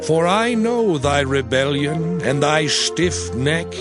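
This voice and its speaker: An earnest prophet and leader exhorts in a fairly high male voice. He declaims very slowly and with command, in the manner of a scripture drama, over clearly audible music. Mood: stern reproach. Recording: music under the voice.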